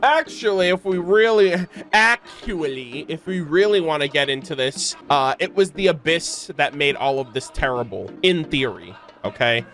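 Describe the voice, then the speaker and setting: A woman's voice: low-pitched, animated, moderate pace, few pauses, a bit bright. A young adult woman chatting casually and expressively as a streamer reacting to a game, with mock-dramatic exclamations. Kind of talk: casual livestream reaction chat. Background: faint game music.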